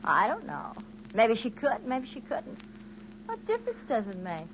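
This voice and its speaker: Brash high-voiced girl hitchhiker, old radio play. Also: wildly swooping pitch, normal speed, fairly bright tone, exaggerated voice. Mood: breezy, puzzled. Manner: slangy, sassy, blunt. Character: brash, wisecracking young female hitchhiker, world-weary. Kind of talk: 1940s radio acting. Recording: muffled 1940s radio recording, faint noise.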